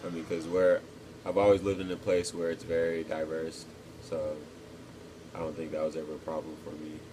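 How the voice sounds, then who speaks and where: A man in his twenties from Texas, answering interview questions casually and thoughtfully, pausing often with ums. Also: very deep voice, monotone, background noise. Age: 20s. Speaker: interviewee, cadet.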